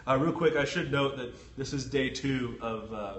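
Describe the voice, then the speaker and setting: A man with a head cold and a stuffy nose, co-hosting a casual sports podcast with quick, chatty, joking talk. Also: medium pitch. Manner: quick, chatty, self-deprecating joker. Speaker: sports reporter co-host. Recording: roomy echo with faint ambient noise.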